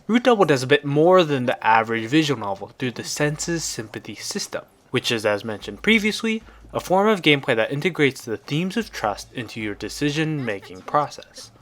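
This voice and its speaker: A man's voice: a little high, sing-song, fairly quick, hardly any pauses, fairly bright tone. Man narrating a scripted game review.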